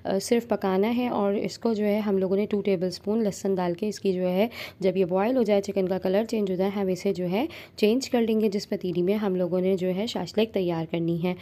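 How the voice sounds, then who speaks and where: A woman hosting a home cooking channel, talking viewers through a recipe step by step in a steady voice-over with frequent fillers. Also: mid-range voice. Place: home kitchen.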